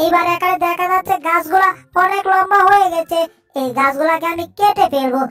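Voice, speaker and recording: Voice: extremely high pitch, steady tone, a little fast, pushed and bright, soft background music. A performer voicing a hungry, mischievous baby crocodile in a cartoon dub, small and childlike, exclaiming as it goes. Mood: busy, eager.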